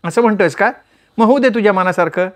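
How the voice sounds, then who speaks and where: Male reader doing a high, playful young woman; chatty teaser in a storybook reading. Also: close to an everyday voice. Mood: teasing. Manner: teasing, quick, bantering. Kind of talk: storytelling with voiced characters.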